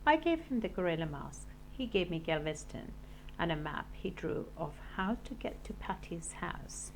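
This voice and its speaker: Lady teacher reading a kids book out loud, very expressive and up and down, bit of background noise. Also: on the low side, normal speed, rough recording. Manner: animated, dramatic read-aloud for children. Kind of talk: expressive storybook narration.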